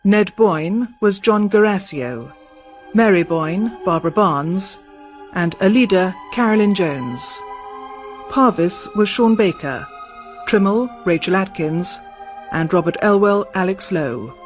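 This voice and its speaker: A woman announcer with a mid-range voice reads radio credits in a scripted style. Soft music plays faintly behind her. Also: mid-range voice, animated, slow. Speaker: closing announcer.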